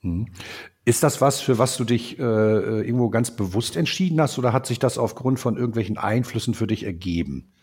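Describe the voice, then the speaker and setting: A man hosting a podcast interview in a relaxed, chatty way, sounding stuffed up from a cold. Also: medium pitch, fairly quick, husky. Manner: chatty, lots of filler sounds. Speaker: podcast host. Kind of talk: casual interview chat.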